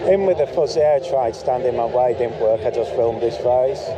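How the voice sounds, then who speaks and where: A man's voice: mid-range voice, moderate pace. A man from the north of England with a cold, chatting casually and matter-of-factly while filming on the street. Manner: chatty, matter-of-fact, unbothered. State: has a cold. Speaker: street filmer running his own channel. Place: outdoors in a city street at a protest. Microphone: body-worn action camera mic. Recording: noisy outdoor action-cam audio.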